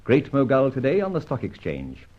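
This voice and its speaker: An actor playing a masked, mocking yet courteous gentleman abductor in an old-time radio detective drama, with a theatrical, urbane, teasing delivery. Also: medium pitch, huge pitch swings, moderate pace, dull audio. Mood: wry, persuasive.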